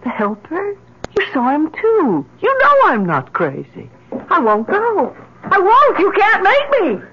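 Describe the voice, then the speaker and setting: A woman playing a distraught, obsessive grieving mother in an old-time radio drama, pleading fervently with a husky voice. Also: extremely high pitch, huge pitch swings, a little slow, muffled recording, background noise, heavily acted. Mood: frantic, defiant. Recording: vintage radio recording.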